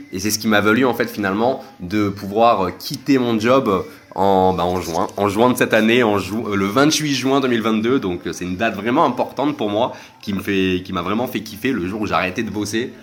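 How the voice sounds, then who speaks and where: A young man hosting a casual solo podcast, rambling with many fillers and restarts. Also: lowish voice. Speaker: podcast host.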